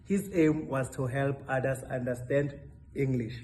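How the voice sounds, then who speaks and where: A man's voice: medium pitch, steady tone, a little slow, darkish tone, a little hiss or hum. A young adult man introducing himself to an audience as a student puppeteer.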